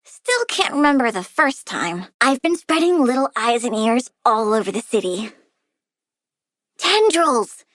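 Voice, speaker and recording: Moody child plant villainess for a game: sky-high, animated, dry close sound, sweet turning sinister. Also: female voice, a little slow, dry recording. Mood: matter-of-fact, childlike. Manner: childlike, petulant, excitable, swinging from sweet to sinister. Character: plant-loving young girl villain, childish, moody, gleefully menacing. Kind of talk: video game character voice lines.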